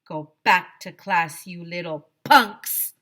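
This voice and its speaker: Woman doing a mean barking male principal, slow and forceful. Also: on the low side. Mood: menacing. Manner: barking, menacing, drawn-out shouting. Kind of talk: children's storybook read-aloud.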